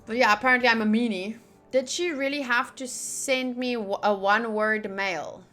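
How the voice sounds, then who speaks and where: Bright, higher-pitched young woman streaming a game. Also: fairly even intonation, normal speed. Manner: chatty, joking asides. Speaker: streamer doing a game playthrough. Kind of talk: casual playthrough commentary, reading game text aloud. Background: game music.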